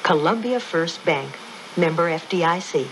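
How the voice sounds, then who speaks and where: A woman's voice: lowish voice, lively intonation, moderate pace. An American woman announcer reading a scripted radio advertisement. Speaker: commercial announcer. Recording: radio ad with faint noise.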